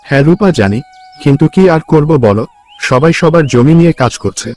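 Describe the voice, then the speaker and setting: A man's voice: fairly high pitch, lively intonation, fairly quick, warm tone, soft background music, natural voice. Man playing a humble, poor village husband. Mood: apologetic. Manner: humble, earnest. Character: poor, weak, pleading husband seeking work. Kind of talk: cartoon story dialogue.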